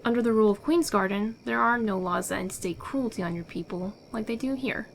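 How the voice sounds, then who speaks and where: A secretive rebel guide acted by a woman in a fantasy audio roleplay. She sounds sure of herself and persuasive, with some theatrical flair at a medium pitch. Faint noise and night crickets are behind her. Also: brisk, vocal fry, natural voice. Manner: assured, persuasive, theatrical delivery. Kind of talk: ASMR audio roleplay acting.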